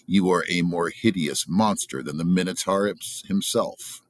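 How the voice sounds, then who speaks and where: A man's voice: low-pitched, measured pace, dry recording. A man voicing a brave, noble young prince, earnest and defiant, in a storybook reading. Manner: earnest, resolute.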